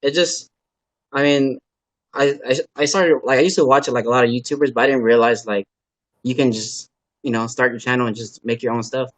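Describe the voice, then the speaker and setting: A man from Los Angeles, a native Khmer speaker, chats as a guest on a live stream. He talks in a relaxed, halting way, stacks up fillers and circles back on himself. His voice sits in an ordinary middle pitch, and the recording is dry, with no room sound. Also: no room sound. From Los Angeles, USA. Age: adult parent. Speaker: guest, car builder and YouTuber. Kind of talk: casual interview chat.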